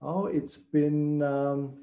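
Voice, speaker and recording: A man in his sixties from Chicago with a slight Austrian accent, chatting in a relaxed, unhurried way on a video call. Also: fairly high pitch. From Chicago, United States. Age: 60s. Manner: relaxed, unhurried, with frequent ums. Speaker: alumnus guest. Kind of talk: casual video call chat. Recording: dull lo-fi video call audio.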